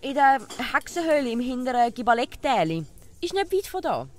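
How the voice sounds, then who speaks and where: An actress playing a brisk, gossipy village tavern keeper in a Swiss German comic audio drama. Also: female voice, high voice, big pitch swings. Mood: confiding. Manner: no-nonsense, gossipy.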